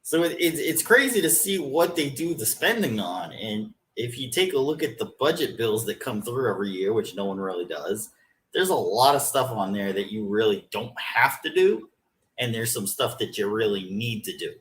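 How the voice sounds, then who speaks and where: A man co-hosting a podcast, calmly walking through his views on spending and health insurance in a casual discussion. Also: mid-range voice, moderate pace, slight background noise. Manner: explains at length, methodical. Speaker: podcast co-host.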